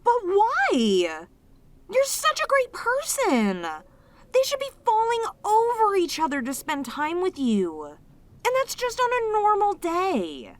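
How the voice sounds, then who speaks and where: A woman's voice: very high voice, huge pitch swings, slow, no echo, full character voice. A woman voicing a lonely, sweet, possessive demoness in an intimate roleplay audio drama, speaking tenderly and hesitantly to the listener. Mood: indignant, protective. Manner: tender, hesitant, slightly stammering, clingy, emotive. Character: lonely, sweet, possessive female demon, sealed away, obsessively devoted.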